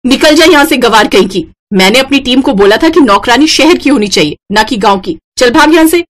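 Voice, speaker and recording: A woman's voice: high-pitched, expressive, fairly quick, voice pushed up. A woman playing a haughty, scolding rich mother-in-law. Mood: furious, shouting. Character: snobbish, hot-tempered wealthy mother-in-law who scorns villagers. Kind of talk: Hindi moral story narration with voiced characters.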